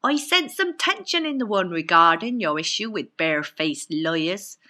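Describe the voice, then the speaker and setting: A woman's voice: lowish voice, lively intonation, normal speed, bright, forceful tone, pitched below the performer's own voice. A woman voicing a meddling imaginary personality who is earnest, preachy and fussy, in a storybook reading. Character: meddling, chatty imaginary inner personality, preachy spiritual adviser.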